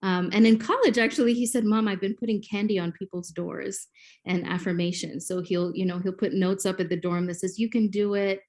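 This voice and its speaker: A woman's voice: mid-range voice, fairly even intonation, brisk. Woman teacher explaining with many ums. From Kenya. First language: Swahili. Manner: explanatory, warm classroom-teacher delivery with frequent ums. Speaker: guest, teacher educator. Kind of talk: podcast interview.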